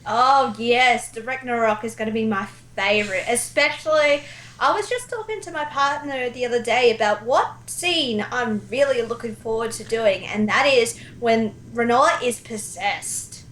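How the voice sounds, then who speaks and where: A woman's voice: a little high, normal speed, fairly bright tone, echoey room, poor audio. A woman chatting casually and enthusiastically about video games as a guest who directs fan dubs.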